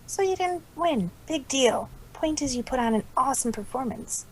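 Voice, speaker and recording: A woman's voice: sits high, animated, moderate pace, husky, low-quality recording. A woman voicing a brash, cocky young female cartoon pegasus, slangy and challenging, in amateur fan-fiction style. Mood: brash, encouraging.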